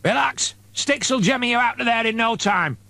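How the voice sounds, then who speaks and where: A man voicing a brisk, evasive, self-serving priest with a breezy, offhand manner in an audiobook. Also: very high voice, vocal fry, bright, forceful tone, no room sound, voice pushed up, full character voice. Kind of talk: audiobook character voice.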